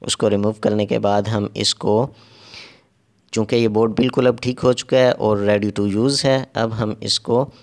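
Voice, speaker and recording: Low-pitched, gravelly man explaining repair steps. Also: steady tone, darkish tone. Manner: halting and repetitive, pausing while working. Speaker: repair technician giving a tutorial. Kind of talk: step-by-step hands-on tutorial narration.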